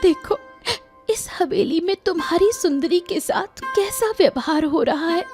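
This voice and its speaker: Cartoon heroine, acted by a woman: young mistreated maid, high-pitched, weeping, meek and plaintive. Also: animated, a little slow, music in the background, full character voice. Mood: tearful, pleading. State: crying. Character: sorrowful, mistreated young servant girl longing for her mother. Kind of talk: Hindi cartoon moral-story acting.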